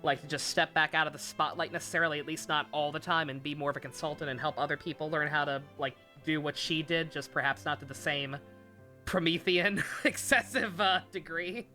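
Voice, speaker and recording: A woman's voice: low-pitched, steady tone, brisk, pushed and bright, soft background music. A woman chatting casually on a game livestream, rambling and blunt, with some swearing.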